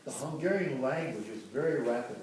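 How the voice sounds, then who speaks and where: An older man from Michigan recalling his family history in a casual, halting way, often restarting and correcting himself. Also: medium pitch, expressive, darkish tone, a little hiss or hum. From Dearborn, Michigan. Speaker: interviewee recounting family history. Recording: roomy, distant home recording.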